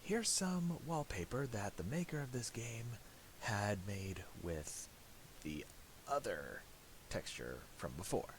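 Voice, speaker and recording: A man narrating a casual software tutorial, thinking aloud in a halting way. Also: on the low side, sing-song, rough recording. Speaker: tutorial presenter. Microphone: voice-over for a screen recording. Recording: hissy amateur voice-over.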